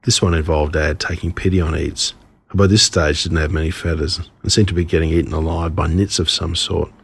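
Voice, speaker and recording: An Australian man reading his own humorous stories as scripted narration, in an expressive, wry way. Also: rumbling low, a little fast, gravelly, breathy edge.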